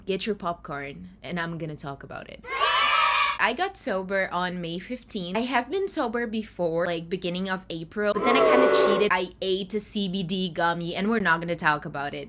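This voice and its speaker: A woman in her twenties from Brazil with a Brazilian accent, talking casually in a confessional vlog, chatty and rambling with lots of asides. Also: lowish voice. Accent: Brazilian Portuguese. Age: 20s. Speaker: vlogger.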